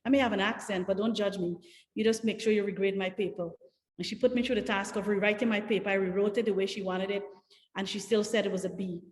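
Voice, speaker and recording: A woman's voice: medium pitch, fairly even intonation, quick pace. A woman from Guyana with a Guyanese accent, telling stories earnestly and emphatically as a panelist on a video call. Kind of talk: panel discussion answer over video call. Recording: echoey room with long reverb.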